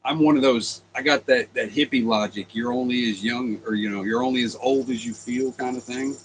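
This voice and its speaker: A man's voice: medium pitch, steady tone, a little fast, slight background noise, low-quality recording. A man in his forties co-hosting a casual livestream, trading crude joking banter. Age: 40s. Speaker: livestream co-host.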